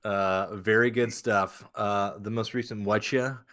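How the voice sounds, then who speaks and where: A man's voice: on the low side, steady tone, a little slow, fairly bright tone. A man co-hosting a podcast in casual, conversational chat. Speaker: podcast host.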